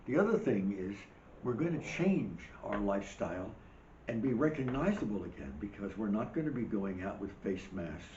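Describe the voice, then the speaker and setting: An older man delivers a rambling host monologue with emphatic, wry asides. His voice sits low and is wearing thin. The recording is dull and roomy, with a faint hiss underneath. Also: sing-song, normal speed, warm tone. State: losing his voice. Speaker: show host. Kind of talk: monologue commentary. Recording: dull echoey room recording.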